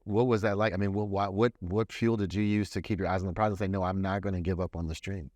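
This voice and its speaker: A man hosting an interview podcast, asking questions in a halting way, often stopping and starting over. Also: low-pitched, level tone, a little mellow, no echo, clean studio sound. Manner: halting, many restarts and fillers. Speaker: podcast host.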